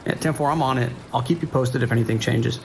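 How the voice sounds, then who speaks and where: A man playing a steady, weary sheriff's dispatcher in an audio drama, measured and procedural, with an American accent. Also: mid-range voice, vocal fry, close to an everyday voice. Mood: concerned, measured. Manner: measured, procedural, hesitant pauses. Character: steady, weary male island sheriff's dispatcher on night shift. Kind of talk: naturalistic audio drama acting. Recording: faint noise, rain behind.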